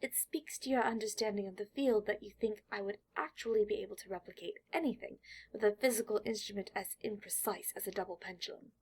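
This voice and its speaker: A woman's voice: a little fast, darkish tone, husky. Woman voicing a prickly, pedantic boy genius. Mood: haughty. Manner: pedantic, shrill when annoyed.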